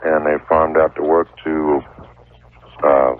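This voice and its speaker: Middle-aged male caller, very low voice, measured and halting, tinny phone line on radio. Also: level tone. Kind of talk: explaining a problem on a phone-in.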